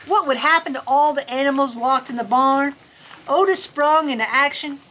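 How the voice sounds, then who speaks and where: High-voiced woman reading a children's story. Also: normal speed, poor audio. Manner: folksy, chatty, expressive. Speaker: storytime host reading a picture book aloud. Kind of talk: animated children's story reading with casual asides. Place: on a farm among sheep.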